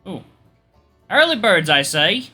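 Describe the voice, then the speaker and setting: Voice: mid-range voice, very animated, takes it slowly, sharp tone, slightly rough, faint music behind, pitched above the performer's own voice, exaggerated voice. A performer voicing a proud, polite young male Australian resident assistant in a jaunty manner.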